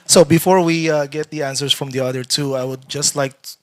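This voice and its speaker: A man casually hosting a live-streamed panel, reading questions and shout-outs in a hesitant, halting way. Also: fairly high pitch, steady tone.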